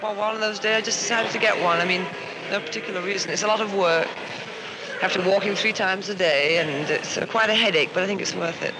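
High-pitched man, noisy 1950s recording. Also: fairly quick. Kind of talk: casual interview answer.

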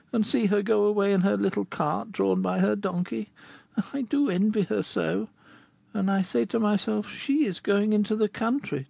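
A man's voice: high voice, creaky, darkish tone, dry recording, voice pushed up. A man voicing a sorrowful sixteen-year-old girl in an audiobook, with a plaintive, sighing delivery. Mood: wistful. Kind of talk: audiobook character reading.

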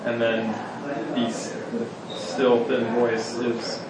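A man's voice: mid-range voice, monotone, slow, over a noisy crowd. Hesitant man, student in class, distant, echoey, noisy. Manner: hesitant, with many ums and restarts. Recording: distant mic in an echoey room, loud noise.

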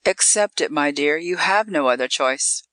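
A narrator voicing a calm, worldly female vampire who speaks with dry, possessive condescension. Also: mid-range voice, normal speed, no room sound, natural voice. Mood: coolly resigned.

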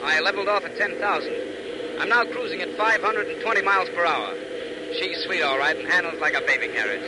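An actor playing a test pilot in a 1940s radio serial, talking over the plane's radio. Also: male voice, sits high, expressive, a little fast. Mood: cool, focused. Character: confident male test pilot turning panicked and terrified. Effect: heard over a plane's radio. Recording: very noisy old radio recording, engine drone.

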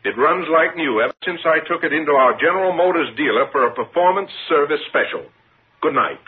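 Very raspy, grumpy sleepy husband. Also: male voice, on the high side, animated, fairly quick. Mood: exasperated. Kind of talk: 1950s radio comedy sketch commercial. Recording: phone-like vintage radio recording.

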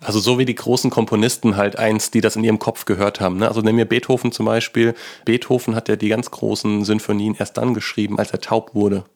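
A man's voice: on the low side, little pitch movement, fast, few pauses. A man interviewing a guest in a chatty, probing way, thinking aloud as he builds his questions. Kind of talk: conversational interview.

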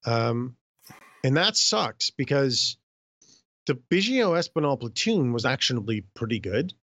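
A hoarse man co-hosting a podcast in casual chat, often clearing his throat and speaking in loose, filler-filled runs. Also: mid-range voice, expressive, unhurried, a bit bright, no echo. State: hoarse after illness. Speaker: podcast co-host.

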